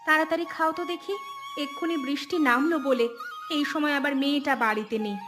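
A bossy but caring village mother, played by a woman, nags her husband and daughter in a cartoon tale. Her voice is high-pitched, and music runs behind her lines. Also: fast talker, natural voice. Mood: nagging. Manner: scolding, nagging, sarcastic. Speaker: voice actor. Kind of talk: cartoon dubbing.

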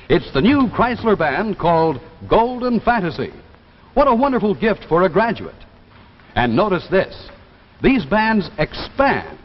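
An American man in his thirties delivering a polished, emphatic 1950s television sales pitch as a commercial announcer. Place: television studio. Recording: dull vintage TV soundtrack, reverberant, faint noise.

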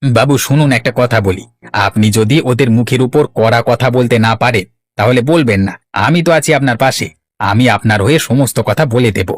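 A man's voice: mid-range voice, moderate pace, natural voice. A man voicing a greedy, fawning estate steward in a cartoon, persuasive and insinuating. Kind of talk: Bangla cartoon voice acting.

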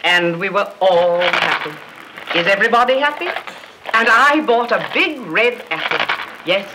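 An actress playing a stern, haughty middle-aged wife in a 1930s comedy film, projecting in a theatrical, declamatory way. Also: female voice, medium pitch, lively intonation, a little slow, close to an everyday voice. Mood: grandly declaiming. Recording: noisy old 1930s film soundtrack.

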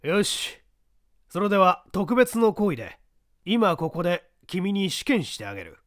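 A composed middle-aged gentleman, as a man reads him in a detective story audiobook, laying out a puzzle in measured, lecturing tones. His pitch rises and falls in wide, lively swings. The recording is close and dry. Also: dry recording, heavily acted. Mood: stern. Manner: measured, lecturing, poses pointed questions.

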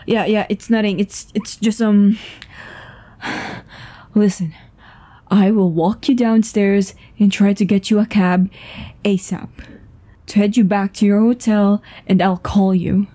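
A woman's voice: mid-range voice, fairly even intonation, takes it slowly, long pauses, mellow. A woman voice actor playing a tense, foul-mouthed, commanding woman with a secret in a dramatic audio roleplay, urgent and swearing. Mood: flustered, urgent. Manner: swearing, urgent, breathy sighs and stammers. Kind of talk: dramatic ASMR roleplay acting.

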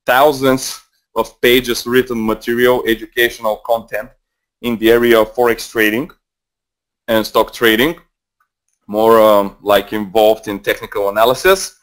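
A man's voice: fairly low pitch, little pitch movement, measured pace, a bit bright. A Bulgarian man in his twenties with a Bulgarian accent, presenting a live trading webinar in a casual, rambling way full of fillers and restarts, speaking into a headset mic. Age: 20s. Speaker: trading mentor.

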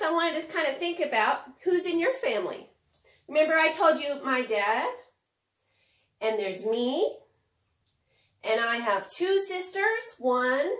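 High-pitched, unhurried woman teaching small children. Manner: animated, simple phrasing, asks the listener questions. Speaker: children's Bible lesson presenter. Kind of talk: preschool lesson addressed to young children. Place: indoors, home kitchen. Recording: roomy, echoey home kitchen.

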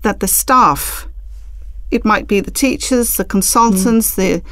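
A woman's voice: medium pitch, unhurried, fairly bright tone, no room sound. A middle-aged woman from southern Denmark, a podcast guest in a small studio, earnest and agreeable. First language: Danish. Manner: earnest, agreeable, many short affirmations. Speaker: podcast guest, vocational school staff. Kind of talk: casual podcast conversation. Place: small studio room, indoors. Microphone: desk microphone on a stand.

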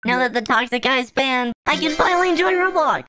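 A woman doing a cartoon fan voice-over as a gamer boy, talking in a deadpan, dry way. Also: high voice, a little fast, over music. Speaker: voice-over creator.

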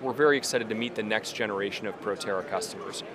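A man in his thirties, a company executive, giving an earnest promotional interview in a busy trade show hall. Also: quick pace. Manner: earnest, fluent pitch. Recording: crowd chatter behind.

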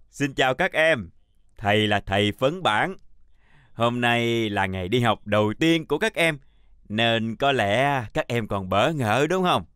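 Cartoon older male teacher, unhurried, formal and patient, close dry sound. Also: mid-range voice, lively intonation, a bit bright, clear, resonant tone, no echo. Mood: formal welcoming. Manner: measured, formal, instructive. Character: stern, patient older male chalk schoolteacher. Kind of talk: children's cartoon acting.

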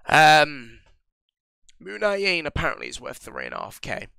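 Young man, bright clear voice, slightly stuffy from a cold, rambling gameplay chat, dry close mic. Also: on the high side, wildly swooping pitch, a little slow, no echo. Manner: rambling, chatty, with frequent ums and restarts. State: recovering from a cold. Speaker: gaming channel host. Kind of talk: casual gameplay commentary.

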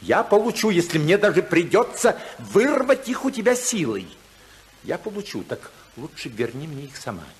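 Domineering older tycoon, wildly varied pitch. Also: male voice, big pitch swings, slight background noise. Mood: menacing. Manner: theatrical, commanding, emphatic with deliberate pauses. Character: domineering, imperious older male tycoon, a stern father. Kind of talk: 1950s radio play acting. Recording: 1950s radio drama recording.

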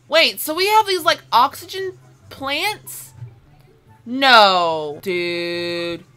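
Young woman, high bright voice, casual gaming commentary, playful slangy self-talk. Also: lively intonation, extremely slow, clean tone. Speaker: gaming video host. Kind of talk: casual let's play commentary with facecam. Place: small room indoors at home. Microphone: separate mic with phone as backup.

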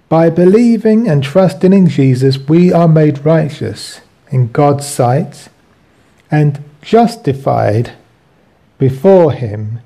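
A man reading his own written teaching aloud as host, in a deliberate, explanatory way. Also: a little high, mellow.

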